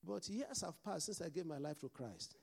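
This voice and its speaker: A man's voice: fairly high pitch, lively intonation, moderate pace, creaky. A man with a mixed Nigerian and Scottish accent, preaching a sermon as pastor and calling out to the congregation for responses.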